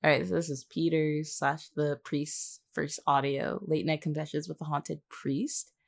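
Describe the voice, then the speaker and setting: A woman with a very low-pitched voice speaks in casual podcast conversation. Her manner is wry and teasing, like a co-host sharing her notes. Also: flat delivery, a little slow, gravelly. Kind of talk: casual behind-the-scenes podcast chat.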